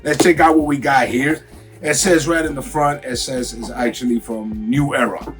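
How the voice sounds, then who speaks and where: A raspy-voiced man with a cold, from Miami, hyping up a casual clothing unboxing for his viewers. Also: mid-range voice, steady tone, normal speed, over loud music. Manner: hyped, slangy, talks straight to viewers. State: has a cold. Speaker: sneaker and clothing vlogger. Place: home room.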